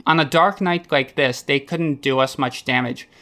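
A man reads the part of an infantry soldier standing guard in a war story. He is wary and given to grumbling, in a natural mid-pitched voice. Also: moderate pace, fairly bright tone, close to an everyday voice. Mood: muttered grumbling. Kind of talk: dramatic reading of a war novel.

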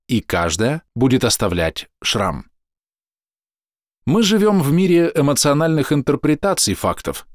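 A man's voice: mid-range voice, no echo. Man narrating, dry close recording. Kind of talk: scripted explanatory voice-over narration.

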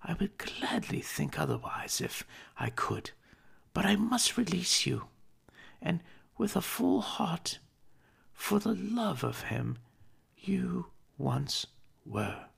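A woman's voice: on the low side, huge pitch swings, slow pace, vocal fry, breathy edge, in a whisper, exaggerated voice. An actress playing a young woman who earnestly and sadly ends an old engagement. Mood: sorrowful. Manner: earnest, soft, sorrowful.

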